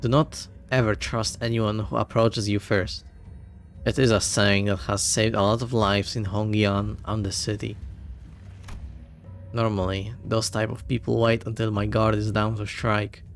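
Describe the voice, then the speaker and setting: A bitter young woman pupil confronting the master who deceived her, as a man reads her lines in his own low voice. She presses with sharp, accusing questions. Soft music sits faintly behind. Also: little pitch movement, measured pace.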